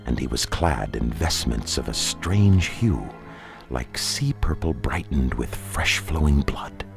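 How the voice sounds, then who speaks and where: A man giving a solemn, measured dramatic narration of dark fantasy fiction, as an audiobook reader. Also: a bass voice, big pitch swings, creaky, music in the background.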